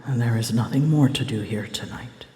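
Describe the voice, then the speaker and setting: A woman voicing a prickly, wounded female dragon in an intimate ASMR roleplay, speaking haltingly and slipping into whispers. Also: rumbling low. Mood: regretful, tender. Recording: roomy long reverb, faint ambient noise.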